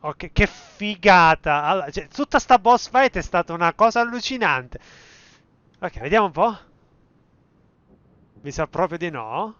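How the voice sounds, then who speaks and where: An Italian man doing casual live gameplay commentary as a streamer, excitable and given to sweary reactions. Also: high-pitched, moderate pace, pauses often, smooth, dry recording, muffled recording. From Italy. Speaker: game streamer. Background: game music.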